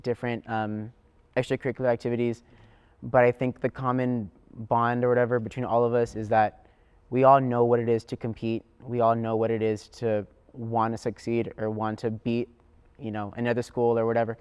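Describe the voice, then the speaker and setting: A man's voice: mid-range voice, level tone, a little fast, a little mellow, smooth, dull audio. A teenage young man, a student actor, giving casual answers in an interview. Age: teens.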